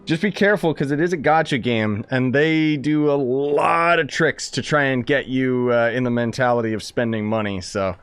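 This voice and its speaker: A man's voice: medium pitch, normal speed, few pauses, a bit bright, smooth. A man streaming a video game, chatting in an informal, offhand way. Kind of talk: casual live stream commentary. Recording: faint game music behind the voice.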